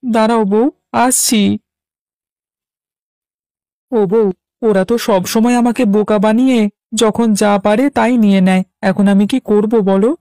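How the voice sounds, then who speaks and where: An actor as a gullible young husband, mellow voice. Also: male voice, sits high, fairly even intonation, normal speed, clean tone, no room sound, clean studio sound. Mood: dismayed, pleading. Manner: naive, dithering. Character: gullible simple-minded young village husband, anxious to please. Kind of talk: Bengali cartoon voice acting.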